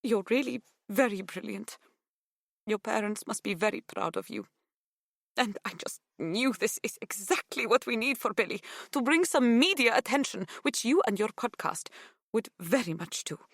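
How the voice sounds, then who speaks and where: Woman acting an effusive older Greek mother, raised pitch. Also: animated, normal speed, gravelly, no echo, clean studio sound. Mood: grateful, warm. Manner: gushing, chatty, affectionate. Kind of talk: audiobook character dialogue.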